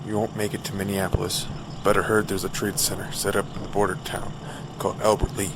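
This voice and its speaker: An actor playing a male federal checkpoint guard speaking in an official, flat manner. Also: on the low side, a little fast, noisy background, lightly acted.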